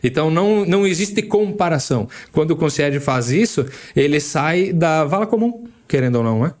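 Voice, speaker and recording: A man's voice: a little high, lively intonation, a little fast, darkish tone. A man co-hosting a casual podcast who explains things with examples and often restarts his sentences. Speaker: podcast co-host.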